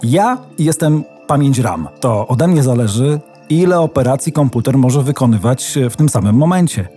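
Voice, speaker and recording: A woman's voice: extremely low pitch, animated, mellow, soft background music. A woman playing a computer's memory as a soothing, bossy yoga guru in a children's radio play, drawing out her words like a meditation teacher. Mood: calm, guiding. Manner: soothing, drawn-out, mock-meditative.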